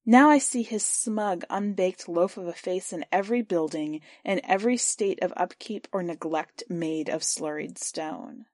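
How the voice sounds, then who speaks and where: A woman reading a first-person literary short story aloud for a podcast, in a measured, clear storytelling voice. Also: fairly low pitch, dry recording, studio quality. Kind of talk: scripted narration of literary fiction.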